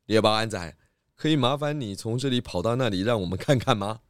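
A man voicing a calm, methodical pig detective who patiently leads with questions in a children's audio drama. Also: lowish voice, dry recording, clean studio sound, natural voice. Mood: polite, probing. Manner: measured, patient, leading with questions.

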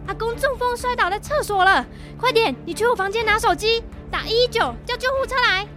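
A woman with a Taiwanese accent, acting a busy, caring mother in a radio drama, expressive and brisk. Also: extremely high pitch, faint music behind. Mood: alarmed, urgent.

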